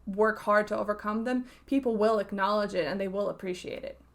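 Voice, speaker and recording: A woman's voice: mid-range voice, brisk. A woman co-hosting a casual fan podcast, talking earnestly and rambling, with many fillers. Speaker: podcast co-host.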